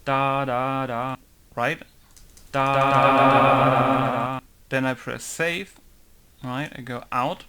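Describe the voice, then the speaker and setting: A man's voice: medium pitch, little pitch movement, takes it slowly, pushed and bright, clear, resonant tone. A man walking through a game modding tutorial, thinking aloud and rambling, often leaning on filler words like "basically" and "right?". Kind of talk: casual screen-recorded tutorial.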